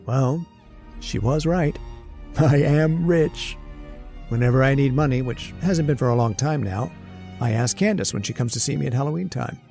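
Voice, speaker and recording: A man's voice: medium pitch, moderate pace, gravelly, warm tone, soft background music, lightly acted. An actor playing a nervous, haunted man who narrates his story in an old-time radio horror drama, jittery and hesitant. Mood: wry, haunted. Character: nervous, haunted, centuries-old male professor confessing a murder plan.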